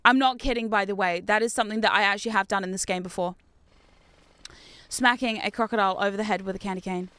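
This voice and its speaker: A woman's voice: mid-range voice, quick pace, smooth, dry recording. A young woman from New Zealand with a mixed New Zealand and British accent, chatting casually while livestreaming a game and reading chat aloud. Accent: mix of New Zealand and British. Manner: chatty, animated, reads chat aloud, laughs often. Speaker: game streamer.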